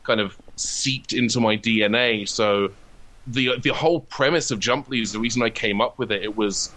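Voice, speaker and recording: A man's voice: mid-range voice, normal speed, fairly bright tone, rough recording. A British man from the UK talking casually as an interview guest, explaining his writing in a self-deprecating way. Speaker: guest, comedy writer.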